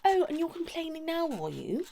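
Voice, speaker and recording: A British woman doing a whispered ASMR role-play as a chatty, cheeky couples' therapist, breathy and soft. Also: very high-pitched, big pitch swings, moderate pace, background noise. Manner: chatty, cheeky, improvised asides to imagined clients.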